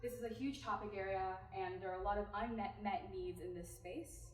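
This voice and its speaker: A woman from California presents a team pitch. She speaks to the room directly and engagingly, at a mid pitch. The recording sounds echoey, with the mic set far away. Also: steady tone, a little fast, slight background noise. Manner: engaging, addresses the audience directly.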